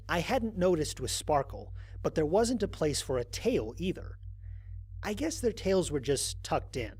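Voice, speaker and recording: A man playing a wry, grumbling human astronaut who records mission logs in an audio drama, speaking in a conversational, expressive way. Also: a little high, breathy edge. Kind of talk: dramatized first-person log narration.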